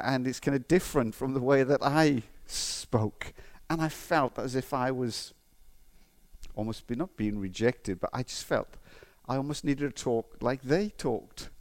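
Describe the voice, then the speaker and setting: Middle-aged male preacher, faded Welsh accent, conversational sermon delivery. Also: medium pitch. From Wales. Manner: conversational, with frequent restarts and fillers. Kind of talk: sermon with personal stories and Bible reading.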